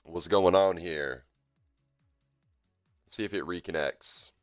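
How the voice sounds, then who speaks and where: Sick, deep-voiced male streamer. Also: takes it slowly, dry recording, clean studio sound. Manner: laid-back, rambling, lots of fillers and self-corrections. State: sick and coughy, voice deeper than usual. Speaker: live-stream gamer host. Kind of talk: casual live-stream chat with viewers. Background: faint game music.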